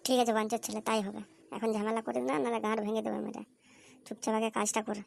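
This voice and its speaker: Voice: mid-range voice, level tone, very fast, creaky, no room sound, natural voice. A performer voicing a crude, cocky young male slacker with slangy, foul-mouthed banter in a comedy cartoon. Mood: scheming. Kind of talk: amateur comic cartoon dubbing. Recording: slightly noisy amateur cartoon dub.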